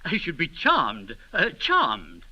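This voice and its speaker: A man's voice: on the high side, slow, husky, dull audio, exaggerated voice. Flustered Englishman, wildly swooping voice. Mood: flustered, placating. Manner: stammering, deferential, excitable. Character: nervous, flustered, polite English envoy at court. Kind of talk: 1930s radio acting.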